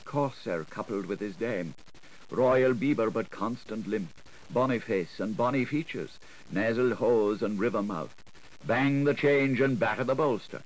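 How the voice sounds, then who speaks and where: A man's voice: lowish voice, measured pace, poor audio. A man giving a theatrical audiobook reading of literary prose, in a declamatory, rhythmic way. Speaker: audiobook narrator.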